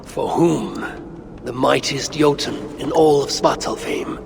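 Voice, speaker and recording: A man playing a proud, brooding Norse god and grim father in a video game, speaking in a measured, solemn, commanding way. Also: fairly high pitch, steady tone, background noise. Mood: wry, persuasive. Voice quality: gravelly. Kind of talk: video game cinematic acting. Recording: music under the voice. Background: cinematic game music.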